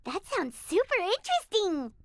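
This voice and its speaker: A woman voicing a small, excitable, curious fairy-like girl companion in a video game, with a squeaky childlike voice. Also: normal speed, slight background noise, full character voice. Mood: amazed. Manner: refers to self in third person, exclaiming. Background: playful music.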